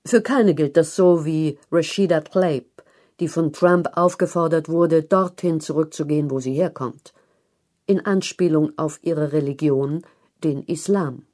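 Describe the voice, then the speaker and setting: A woman narrating a written news feature in a measured, articulate read-aloud style. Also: low-pitched, darkish tone. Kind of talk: scripted narration of long-form journalism.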